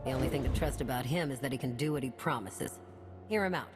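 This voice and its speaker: An actress playing a streetwise, pragmatic smuggler broker in a video game, who talks frankly and casually. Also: female voice, very deep voice, fast, over music. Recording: game score behind the voice.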